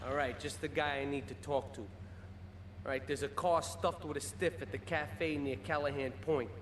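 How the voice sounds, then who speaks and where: Game role: male actor as young streetwise American gangster handing out jobs, fairly high voice, reverberant noisy recording. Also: fairly even intonation, normal speed, close to an everyday voice. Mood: businesslike, firm. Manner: streetwise, casual slangy talk. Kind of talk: video game cutscene acting. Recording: echoey reverb, background noise.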